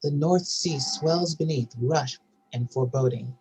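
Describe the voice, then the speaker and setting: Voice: mid-range voice, unhurried, warm tone, a little hiss or hum, low-quality recording. A narrator reading out stage directions and scene headings in a scripted table read.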